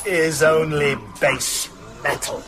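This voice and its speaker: An actor playing a mocking, cruel master vampire in a radio horror drama, with a gravelly voice and theatrical, taunting delivery. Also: male voice, on the high side, little pitch movement, slow pace, noisy background. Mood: gloating scorn.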